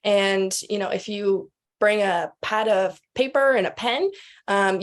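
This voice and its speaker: A woman speaking as an interview guest, chatty and casual, explaining things with little acted-out examples. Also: medium pitch. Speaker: podcast guest, expo representative.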